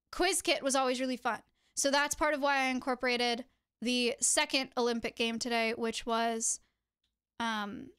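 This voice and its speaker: A woman's voice: a little high, a bit bright, smooth. A young woman streamer chatting to her viewers, giving tips in a teacherly, chatty way. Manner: chatty, teacherly, addresses chat directly. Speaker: streamer host.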